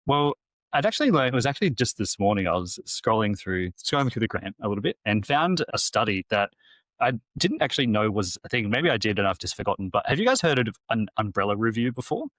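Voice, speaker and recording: A man co-hosting a podcast, rambling and hesitant, trailing off quietly at the ends of his sentences. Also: on the low side, very animated, fast talker, dry recording. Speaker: podcast co-host, coach. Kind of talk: casual podcast chat.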